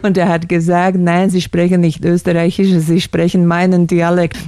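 A university German lecturer from Slovakia, answering interview questions thoughtfully with hesitant fillers. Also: male voice, high voice, monotone, moderate pace, a little mellow, clear, resonant tone, no room sound, clean studio sound.

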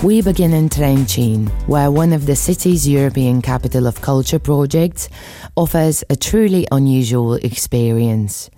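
A woman presenting a news magazine show, reading scripted introductions in a measured, polished way. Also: a bass voice, dark tone. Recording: studio voice with music bed.

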